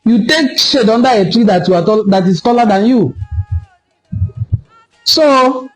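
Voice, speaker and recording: A Nigerian man giving an impassioned solo commentary, lecturing forcefully and repeating points for emphasis. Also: high-pitched, lively intonation, a little slow, soft background music, low-quality recording. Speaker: online cultural commentator.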